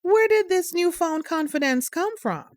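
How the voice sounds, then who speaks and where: A woman's voice: very high-pitched, lively intonation, measured pace, no echo. An actress playing a caring mother of a teenager in an audio drama, affectionately teasing and nudging. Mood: playful, teasing.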